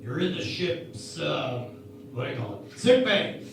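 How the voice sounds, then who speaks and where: A man acts out a bumbling, well-meaning starship officer in a parody radio play, recorded with heavy echo and music. His voice is high and creaky, and he stammers, groping for each word. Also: big pitch swings, background noise, exaggerated voice. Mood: fumbling. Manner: halting, stammering, fumbling for words. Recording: echoey, distant, music underneath.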